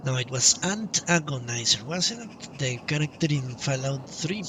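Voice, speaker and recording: A man commenting casually on a live game stream, thinking aloud in a halting way. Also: mid-range voice, lively intonation, far from the mic, background noise. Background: video game music.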